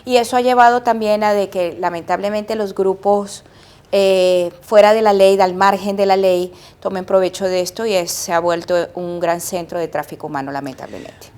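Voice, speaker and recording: A middle-aged woman from Colombia, an epidemiologist answering interview questions in a measured, explanatory way. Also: mid-range voice, fairly even intonation, a little mellow, clean tone. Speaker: epidemiologist guest.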